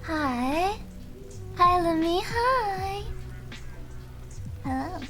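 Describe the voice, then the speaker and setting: A young woman streaming, chatting softly and hesitantly with viewers with many fillers. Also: extremely high pitch, animated, extremely slow. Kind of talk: casual chat with viewers. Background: faint background music.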